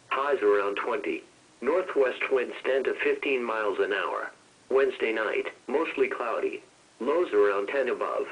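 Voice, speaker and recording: A man reading a scripted weather bulletin as a radio announcer, in a measured, impersonal, synthesized-sounding way, heard over weather radio. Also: mid-range voice, fairly bright tone, husky, low-quality recording. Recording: weather radio broadcast picked up off a speaker.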